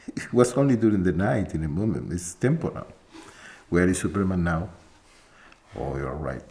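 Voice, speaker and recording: Slow, low-voiced man teaching softly. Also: gravelly. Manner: slow, reflective, with pauses and soft laughs. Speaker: spiritual teacher. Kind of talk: spiritual talk to an audience.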